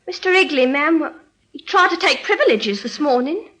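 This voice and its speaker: An actress playing a timid, earnest young country housemaid in an old radio drama, meek and hesitant. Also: female voice, high-pitched, lively intonation, normal speed, pushed and bright. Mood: flustered. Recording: noisy old radio recording with clicks.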